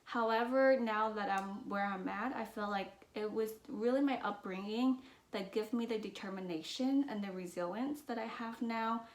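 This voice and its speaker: A woman from Vietnam with as her talks earnestly and reflectively to her channel, with many fillers and restarts. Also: medium pitch. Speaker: business and mindset vlogger. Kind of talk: personal talk to camera. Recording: roomy echo.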